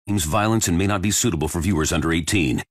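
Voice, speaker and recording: A man with a husky voice reading a scripted content warning as an announcer. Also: deep voice, fast talker, no echo, studio quality.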